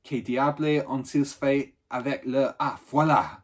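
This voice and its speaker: A man playing a plump, flustered gentleman intruder, in a storybook reading. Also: mid-range voice, a little slow, natural voice. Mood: irritated muttering. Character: plump, startled French gentleman intruder, muttering.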